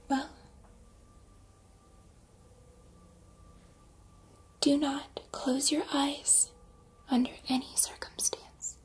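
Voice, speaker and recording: A woman playing a calm, exacting combat robot trainer in soft, often whispered ASMR roleplay, with formal, precise instructions. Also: high-pitched. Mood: calm, matter-of-fact.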